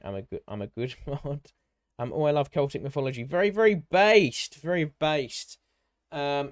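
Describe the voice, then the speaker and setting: Male live stream host, medium pitch, informal and rambling, jumping from one viewer message to the next. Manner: rambling, hopping between comments, informal and matey. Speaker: livestream host and content creator. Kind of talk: casual live stream chat, answering viewer comments.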